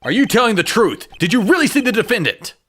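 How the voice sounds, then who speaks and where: A man's voice: high voice, very animated, fast talker, fairly bright tone, a little hiss or hum. A man voicing an earnest young male defense attorney who exclaims and stammers when caught off guard. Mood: determined. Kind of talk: fan dub game voice acting. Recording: with background music.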